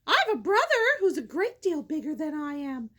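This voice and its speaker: A woman's voice: sits high, sing-song, normal speed, a bit bright. A woman voicing a timid young billy goat in a storybook, meek and hesitant as he pleads. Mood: pleading.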